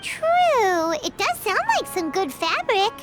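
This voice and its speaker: Squeaky, tiny, childlike fairy companion. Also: female voice, sing-song, takes it slowly, loud music behind the voice, exaggerated voice. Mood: curious. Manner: refers to self in third person, exclamatory. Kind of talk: English anime game dub acting.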